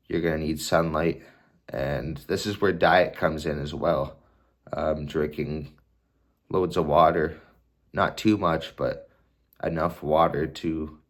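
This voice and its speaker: A man in his twenties with a congested voice from a cold, calmly giving casual advice to the camera in an unhurried way, with fillers and restarts. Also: a bass voice. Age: 20s. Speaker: vlogger sharing mental health tips.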